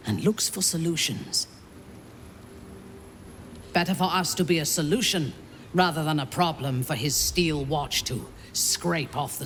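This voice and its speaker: Earnest, higher-voiced noble hero man. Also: a bit bright. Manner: formal, earnest, declarative. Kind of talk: video game voice acting. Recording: music behind the voice. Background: game music.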